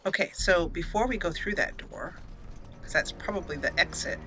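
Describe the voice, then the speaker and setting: A woman giving casual, excitable commentary while playing a video game, talking to the characters as she goes. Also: fairly low pitch, moderate pace, soft background music. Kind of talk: casual let's play commentary.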